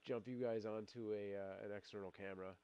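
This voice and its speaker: A man's voice: on the low side, steady tone, fairly quick, warm tone, smooth, muffled recording. Middle-aged man live-streaming with a cold. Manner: rambling, many fillers and restarts, laughs often. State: fighting a cold. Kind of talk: casual live-stream chat, reading viewer comments.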